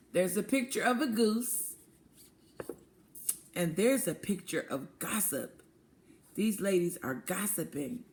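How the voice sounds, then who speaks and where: Lady teacher doing a read-aloud for little kids, medium pitch, really unhurried, asks questions and repeats stuff. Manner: asks questions, pauses, then affirms answers; repeats and explains words simply. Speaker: teacher reading aloud to young children. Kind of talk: interactive picture-book read-aloud lesson.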